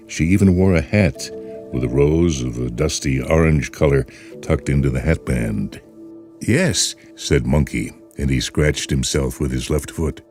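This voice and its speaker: A man hosting and narrating a short story in an expressive, unhurried storytelling way. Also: a bass voice. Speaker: host and narrator. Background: faint music.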